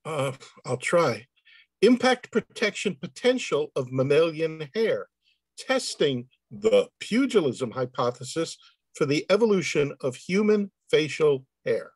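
Higher-voiced man reading, dry sound. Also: very animated, measured pace, breathy edge, no room sound. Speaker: dramatic reader. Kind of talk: reading a research paper aloud. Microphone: video call.

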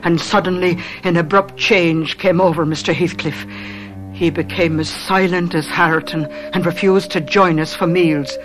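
A woman's voice: fairly low pitch, fairly even intonation, moderate pace, over music, natural voice, low-quality recording. An actress playing a concerned, loyal housekeeper in a gothic radio drama, earnest as she tells the story. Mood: grave storytelling. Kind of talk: radio drama narration and acting.

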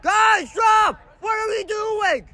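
Man, high-pitched, very animated, bright tense voice, amateur match commentary, sideline phone recording, faint background noise. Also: slow pace. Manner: animated, shouting at the referee and players. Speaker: sideline commentator and teammate filming. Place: indoor sports dome, large. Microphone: phone camera held by the speaker.

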